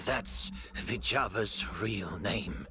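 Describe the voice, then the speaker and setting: An actor playing a weary, grave master recovering from an attack, speaking solemnly in video game dialogue with music behind him. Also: male voice, fairly low pitch, drawn-out words. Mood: grave, resolute.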